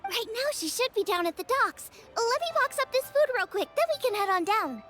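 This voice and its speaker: A woman's voice: very high voice, expressive, brisk, fairly bright tone. A woman voicing an eager, friendly, earnest young girl cook in a video game. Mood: cheerful. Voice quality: girlish. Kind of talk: video game character voice acting. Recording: music playing behind the voice.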